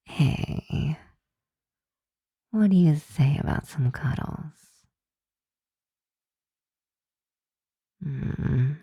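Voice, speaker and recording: A woman playing a flirty, softly dominant, affectionate vampire roommate in an intimate ASMR roleplay, breathy and often whispering, teasing and tender. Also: low voice, very slow, pauses often, no room sound, studio quality, exaggerated voice.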